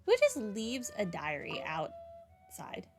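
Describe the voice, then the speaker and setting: A woman's voice: mid-range voice, huge pitch swings, slow, soft background music. A woman doing a casual game playthrough, commenting and reading text aloud. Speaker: game streamer.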